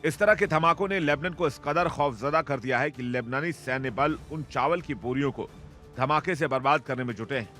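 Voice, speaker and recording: A man reading a scripted bureau news report in a steady, measured way. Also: medium pitch, faint music behind.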